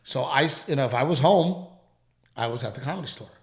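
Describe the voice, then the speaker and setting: A man co-hosting a casual podcast at home, talking in halting, rambling runs, with a lingering dry cough. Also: medium pitch, animated, echoey room. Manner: rambling, halting, full of restarts. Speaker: podcast co-host, comedian. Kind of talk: casual chat.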